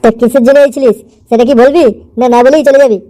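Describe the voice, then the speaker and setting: A woman's voice: high-pitched, steady tone, fast talker, dark tone, close to an everyday voice, low-quality recording. A woman voicing a stern, suspicious father in a cartoon comedy, speaking in a scolding, nagging manner. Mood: suspicious, annoyed.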